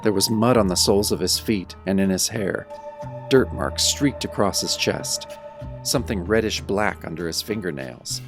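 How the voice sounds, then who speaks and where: A man's voice: deep voice, normal speed, music in the background. A man playing a brooding young werewolf who narrates his own story in a wry, dramatic radio-drama style. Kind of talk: first-person audio drama narration, old radio show style.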